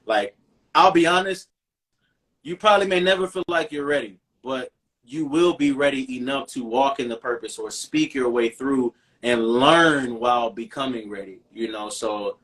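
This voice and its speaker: A man's voice: mid-range voice, normal speed, a bit bright, far from the mic, rough recording. A man from Chicago, a singer guest in a casual interview, talking in a reflective, earnest way and often repeating words as he thinks. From Chicago, USA.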